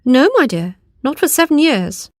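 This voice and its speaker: A woman's voice: sits high, very animated, moderate pace, darkish tone, no echo, clean studio sound. A woman voicing a kindly, polite talking apple tree in a storybook. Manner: polite, reassuring. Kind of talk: storybook character voice.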